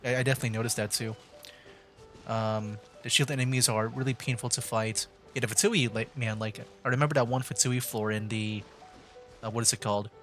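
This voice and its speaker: A man's voice: mid-range voice, steady tone, brisk, a bit bright. A man livestreaming a video game with casual, chatty, slangy commentary. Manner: informal slang, chatty, reactive. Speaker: game streamer. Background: faint game music.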